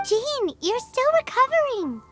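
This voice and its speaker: A woman voicing a tiny, loyal, chatty fairy companion in a video game, with a squeaky childlike voice. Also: very animated, unhurried, loud music behind the voice, exaggerated voice. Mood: worried, indignant.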